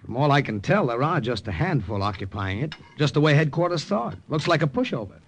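A man's voice: medium pitch, very animated, brisk, slight background noise. An actor playing an American spy who narrates his wartime mission in a plain, matter-of-fact American voice. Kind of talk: 1940s radio drama narration.

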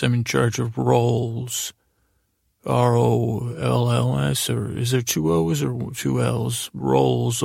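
A man hosting a podcast, delivering a rambling bedtime monologue in a gravelly voice, halting and often interrupting himself as he meanders along. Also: mid-range voice, no room sound, clean studio sound. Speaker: podcast host.

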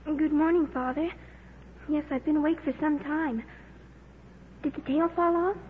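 A woman's voice: high-pitched, fairly even intonation, unhurried. Female precocious, lonely daughter in 1950s radio drama; earnest, bookish, muffled recording with heavy noise. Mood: matter-of-fact. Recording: muffled noisy old radio broadcast.

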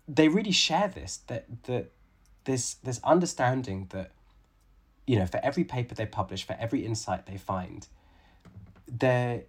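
A man's voice: medium pitch, expressive, moderate pace, breathy edge. A man in his late thirties, a biologist being interviewed, talking thoughtfully with many restarts and fillers. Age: 30s. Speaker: guest, biologist and author. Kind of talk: conversational interview.

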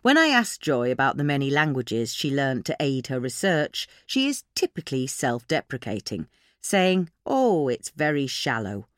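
Woman reading an article out loud, lowish voice that moves up and down a lot, really dry close sound. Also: big pitch swings, normal speed, no echo. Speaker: narrator of a magazine article. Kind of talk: scripted article narration.

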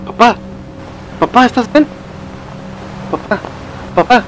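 Un hombre joven que interpreta a un hijo angustiado que intenta calmar a su padre en un drama de terror. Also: male voice, sits high, brisk, poor audio. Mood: worried, soothing. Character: anxious grown son pleading to calm his panicking father. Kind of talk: horror story dramatization. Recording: music behind the voice.

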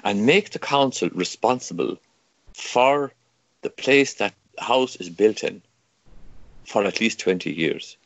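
A man's voice: lowish voice, animated, slow pace, dry recording, muffled recording. Impassioned older Irish man, stammering. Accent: Irish, Kerry. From Ireland. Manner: emphatic, stammering restarts, rhetorical questions. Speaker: guest, campaigner. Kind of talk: impassioned interview argument.

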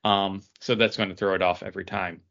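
Bright-voiced man with a low pitch. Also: flat delivery, a little fast, gravelly, highs cut off. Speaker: podcast co-host. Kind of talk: casual podcast chat about cocktails.

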